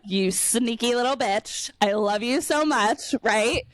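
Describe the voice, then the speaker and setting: A woman hosting a podcast, a little sniffly, chatting candidly with lots of swearing and animated storytelling over a call. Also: fairly high pitch, takes it slowly. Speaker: podcast host. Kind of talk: casual conversational chat. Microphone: remote call.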